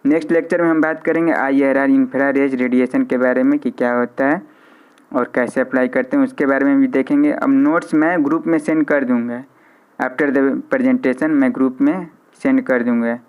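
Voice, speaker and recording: A man's voice: mid-range voice, steady tone, normal speed, clean tone. A man with an Indian accent giving an informal live online lecture, repeating his phrases and mixing in English technical terms. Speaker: physiotherapy lecturer hosting a live online class. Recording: slightly dull, band-limited audio.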